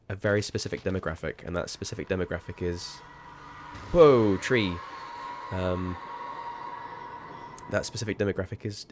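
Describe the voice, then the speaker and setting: A man's voice: lowish voice, slight background noise. A man chatting casually while streaming a game, rambling and sweary, with sudden startled outbursts. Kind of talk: casual livestream chat.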